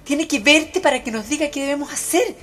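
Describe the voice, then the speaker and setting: An actress playing an anxious, devoted friend in a radio drama, emotive and worried, with a Chilean accent. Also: female voice, high voice, fairly quick, fairly bright tone. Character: anxious, devoted female friend of a bewitched woman.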